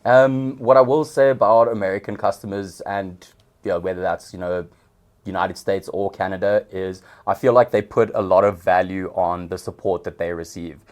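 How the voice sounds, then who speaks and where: Low-voiced South African man. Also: normal speed. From Johannesburg, South Africa. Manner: relaxed, explanatory, with some hesitations. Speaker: guest, customer success manager. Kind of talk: casual interview.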